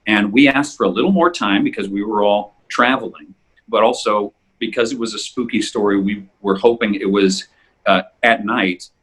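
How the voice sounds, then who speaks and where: A man's voice: fairly low pitch, normal speed, fairly bright tone, slightly rough, rough recording. A man hosting a casual podcast chat. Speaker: host.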